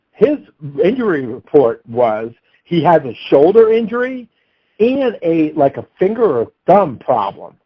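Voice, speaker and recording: Lively higher-voiced male sports betting analyst. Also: breathy edge, lo-fi sound. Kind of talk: unscripted sports talk and analysis.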